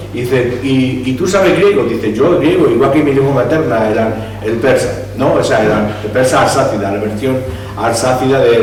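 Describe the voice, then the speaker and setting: A man's voice: mid-range voice, darkish tone. A man gives a public history lecture. He talks discursively, restarts words and asks rhetorical questions. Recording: echoey hall, distant mic, faint hum.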